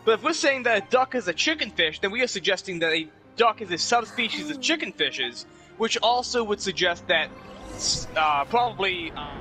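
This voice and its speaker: High, bright-voiced man reasoning aloud. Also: expressive, moderate pace, soft background music.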